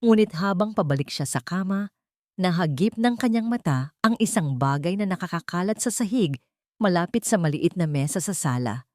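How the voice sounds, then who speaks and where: A woman reading a scripted fiction story aloud with dramatic, expressive delivery. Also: lowish voice, moderate pace, dry recording, clean studio sound. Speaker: audio story narrator.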